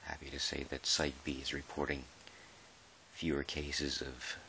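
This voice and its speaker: A man playing a measured, dry park employee who dictates an official audio log in a halting, deliberate way, in ASMR roleplay style. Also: a bass voice, little pitch movement, bright, forceful tone, a little hiss or hum, full character voice. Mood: matter-of-fact. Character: male dinosaur park employee, measured, dryly put-upon record keeper.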